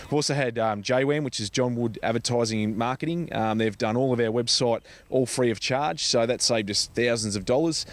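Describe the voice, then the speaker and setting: A man with an Australian accent answering interview questions in a halting, conversational way, often repeating words. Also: mid-range voice, dry recording. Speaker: charity foundation representative being interviewed.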